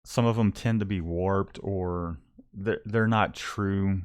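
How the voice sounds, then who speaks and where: A man's voice: sits low, fairly even intonation, slow pace, clean tone. A man with a Southern accent mixing Texas and Louisiana, chatting casually and rambling with lots of restarts. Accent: Southern US, Texas-Louisiana mix. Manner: rambling, many restarts and fillers. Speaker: screen printing shop owner, co-host. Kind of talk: casual livestream chat.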